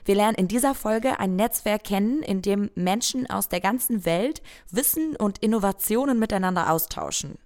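A woman host reading a scripted podcast introduction in a clear, friendly voice. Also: medium pitch, normal speed, darkish tone.

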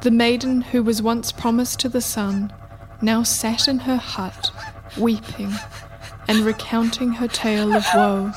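A woman reading scripted narration for a radio play in a measured, deliberate way. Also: a little high, steady tone, rough recording.

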